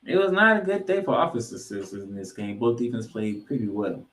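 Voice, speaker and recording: A man co-hosting a sports podcast, recapping football games with emphatic, drawn-out phrases that he repeats for effect. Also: fairly low pitch, sing-song, a bit bright. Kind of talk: football game recap commentary.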